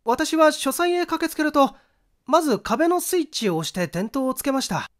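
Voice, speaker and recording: A man's voice: very high voice, animated, moderate pace, fairly bright tone, pitched above the performer's own voice. A man voicing an earnest young male witness in a mystery audiobook, recounting events formally and in detail. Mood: earnest, urgent.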